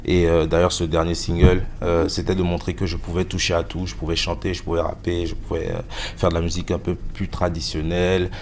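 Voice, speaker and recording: A man in his thirties, a musician answering interview questions in a hesitant way, with many pauses and fillers. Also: deep voice, level tone, a little mellow, a little hiss or hum. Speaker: musician guest.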